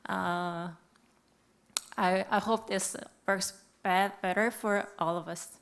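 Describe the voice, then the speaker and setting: Smooth-voiced woman. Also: medium pitch, fairly even intonation, slow pace. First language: Korean. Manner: polite, hesitant with fillers. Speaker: conference presenter. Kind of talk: formal conference opening.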